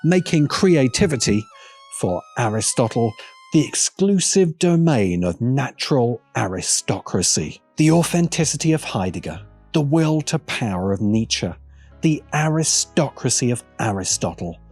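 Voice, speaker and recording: A man narrating a scripted video essay in a deliberate, emphatic manner with pointed pauses. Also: medium pitch, lively intonation, darkish tone, breathy edge, faint music behind.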